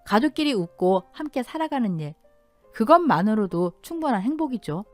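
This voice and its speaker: A woman's voice: on the high side, no echo. Woman narrating a radio story, dry studio sound. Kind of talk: scripted first-person radio story narration.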